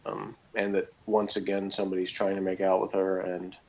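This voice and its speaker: A man's voice: low-pitched, completely flat delivery, a little fast, a little mellow, poor audio. A man chatting casually as a panelist on a review show, rambling and peppering his talk with "like" and "you know". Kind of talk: casual opinionated chat.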